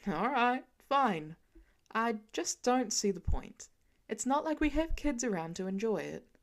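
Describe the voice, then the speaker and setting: A woman voicing a wry, self-assured grown man, lowering her voice to play him in a fan audiobook reading. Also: big pitch swings, unhurried.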